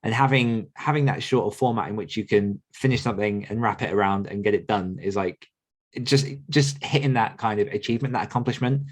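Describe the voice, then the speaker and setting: A man's voice: lowish voice, brisk. A man hosting a podcast, asking guests questions in a casual interviewing style. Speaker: podcast host.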